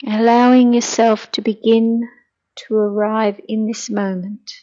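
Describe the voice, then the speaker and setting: A middle-aged woman leading a guided meditation in a gentle, soothing, hushed manner that turns breathy at times. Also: fairly high pitch, flat delivery, measured pace, lo-fi sound.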